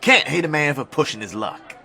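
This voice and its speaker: Man acting a sharp, hard-bitten spymaster. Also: on the high side, lively intonation, a little fast, creaky, full character voice. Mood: pragmatic, unapologetic. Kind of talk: audio drama acting.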